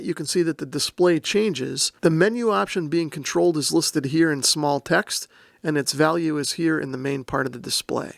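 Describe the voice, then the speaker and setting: Creaky-voiced man giving a step-by-step demonstration. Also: on the high side, dry recording. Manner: methodical, plain-spoken explaining. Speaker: host, radio reviewer. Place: indoor room.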